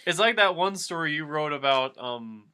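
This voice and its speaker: A man's voice: a little high, lively intonation, moderate pace, sharp tone, clean tone. A man in casual comic chat, incredulous and nitpicking, stammering as he comments on a story reading.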